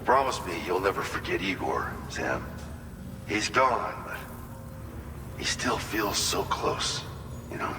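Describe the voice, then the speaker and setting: A man's voice: low voice, very animated, slow, pauses often, echoey room, big-room sound, over music, full character voice. An actor playing an earnest, wistful male colonist in a video game, talking in a sincere, conversational and folksy way. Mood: wistful, tender. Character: earnest, wistful male colonist grieving his lost brother. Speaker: game character briefing the player. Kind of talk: cinematic video game dialogue.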